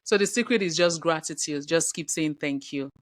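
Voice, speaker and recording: A woman's voice: sits low, moderate pace, no echo, studio quality. A woman hosting a podcast, asking questions and encouraging her guest with frequent "mm-hmm" and "wow". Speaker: podcast host. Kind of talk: interview questions and backchannel.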